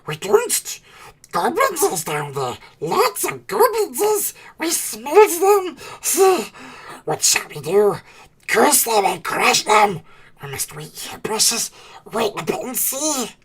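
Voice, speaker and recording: Shrill, husky, wretched cave creature. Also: male voice, wildly swooping pitch, takes it slowly, full character voice. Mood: frightened, agitated. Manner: broken grammar, muttering repetitions to itself. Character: wretched, spiteful, cave-dwelling creature, hissing and obsessive.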